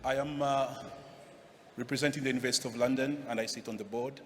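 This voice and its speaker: A man's voice: mid-range voice, fairly even intonation, fast talker, soft background music. Man presenting in a reverberant hall. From Zambia. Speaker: award presenter, academic. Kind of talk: formal scripted presentation speech. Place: large event hall, on stage. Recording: echoey hall, noticeable background noise.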